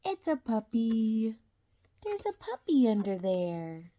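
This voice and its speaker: An older woman reads a children's storybook aloud. Her voice sits fairly high and swings widely in pitch. She takes her time, very slowly drawing out each question with expressive, deliberate drama. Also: slight background noise. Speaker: grandmother reading a storybook aloud. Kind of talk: children's picture-book read-aloud.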